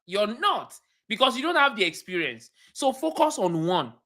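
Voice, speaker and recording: A woman with a Nigerian accent coaches a livestream audience in an emphatic way. Her voice is bright and forceful, and she often circles back to repeat a point. Her pitch moves a lot, and she checks in with her listeners by asking them questions. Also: big pitch swings, normal speed. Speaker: cybersecurity career coach. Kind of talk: livestream teaching talk.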